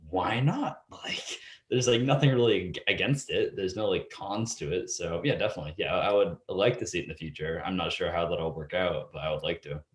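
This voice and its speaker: A man's voice: lowish voice, reverberant. A teenage boy answering interview questions casually, rambling and hesitant, filling with "like". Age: teens. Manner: rambling, heavy use of "like", hesitant. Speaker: student guest.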